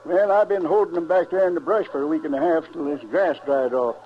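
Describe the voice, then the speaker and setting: A man's voice: on the high side, steady tone, fast talker, lightly acted. Man playing a folksy old Western sheep farmer. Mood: folksy, hearty. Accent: rural American West. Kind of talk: 1950s Western radio drama acting. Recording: dull, noisy old radio recording.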